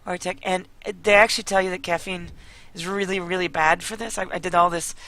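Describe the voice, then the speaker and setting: A hoarse, croaky young-adult live streamer from Chicago, chatting casually late at night with many fillers. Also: steady tone, fairly quick, bright, forceful tone. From Chicago, United States. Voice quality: croaky, gravelly. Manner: rambling with many fillers, then low and sleepy. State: hoarse, losing voice.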